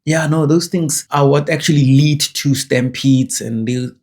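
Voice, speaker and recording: Husky-voiced South African man, chatty. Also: on the high side, a little slow, a little mellow. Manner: digressive, self-correcting, chatty. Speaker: vlogger. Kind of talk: casual rambling monologue.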